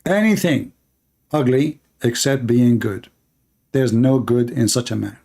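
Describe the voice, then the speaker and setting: A man's voice: medium pitch, darkish tone. A man giving emphatic preaching commentary to his viewers, declaiming and repeating lines for emphasis. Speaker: online religious debater.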